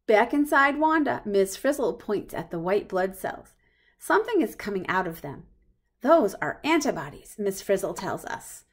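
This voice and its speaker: Female storybook reader, mid-range voice, expressive delivery aimed at little kids. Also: mid-range voice, moderate pace. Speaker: reader of a children's picture book read-aloud. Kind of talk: children's storybook read-aloud.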